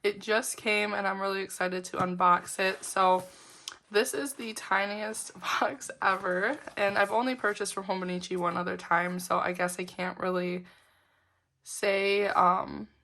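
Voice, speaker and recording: A woman talking through an unboxing in a bright, edgy tone that barely rises or falls. Her voice sounds slightly off from being sick, but she stays chatty and giggly, filling gaps with ums and likes. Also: mid-range voice, steady tone, unhurried, vocal fry. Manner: chatty, upbeat, giggly, with frequent fillers. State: voice roughened from a lingering illness. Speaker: planner vlogger. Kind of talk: casual unboxing chat.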